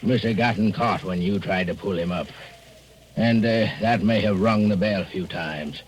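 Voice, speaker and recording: An actor playing a steady, matter-of-fact male crewman in 1940s radio drama style. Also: lowish voice, moderate pace, natural voice. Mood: sober reporting. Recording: faintly noisy 1940s radio recording.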